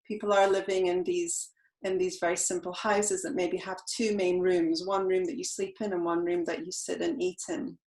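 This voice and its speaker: A woman's voice: fairly low pitch, flat delivery, moderate pace, darkish tone. A woman from the UK with a British accent, giving an informal, reflective presentation as a charity representative and explaining as she goes. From United Kingdom. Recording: roomy, echoing sound.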